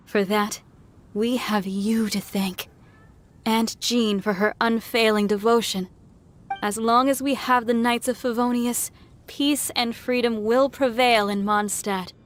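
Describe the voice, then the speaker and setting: A woman's voice: on the high side, fairly even intonation, unhurried, slight background noise, natural voice. A woman playing a composed, gracious townswoman in a video game, speaking earnestly and formally. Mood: solemn, grateful. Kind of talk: scripted video game dialogue.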